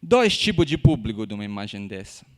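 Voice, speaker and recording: Sicilian man giving a halting lecture. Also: fairly low pitch, sing-song. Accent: Sicilian Italian. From Sicily, Italy. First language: Italian. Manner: halting, many "né?" tags and restarts. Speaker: university lecturer. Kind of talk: academic lecture. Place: university lecture room.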